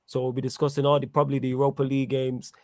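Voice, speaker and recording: Informal football show host, dry close sound. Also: male voice, mid-range voice, monotone, racing, no room sound. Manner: informal, slangy banter. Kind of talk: casual live sports chat.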